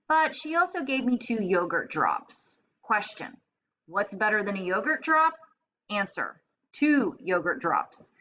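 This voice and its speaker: A woman voicing a self-satisfied, excitable little male hamster telling his diary in a children's storybook. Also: medium pitch, highs cut off, full character voice. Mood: smug, fussy. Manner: self-satisfied, exclamatory, asks and answers himself.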